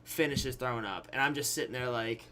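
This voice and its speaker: Bright-voiced young man telling a story. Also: mid-range voice, fairly quick, slight background noise. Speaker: YouTube storyteller. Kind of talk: casual, candid personal storytelling to camera.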